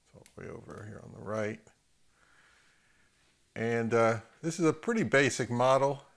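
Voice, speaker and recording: A middle-aged man giving a casual, unscripted gear demonstration, thinking aloud in halting phrases as he handles the equipment. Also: medium pitch, fairly bright tone. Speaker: host of an audio gear review channel.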